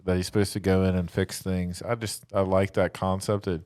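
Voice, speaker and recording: A man's voice: deep voice, little pitch movement, a little fast, a little mellow, clean tone. A man chatting casually and enthusiastically as a podcast panelist. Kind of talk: casual chat.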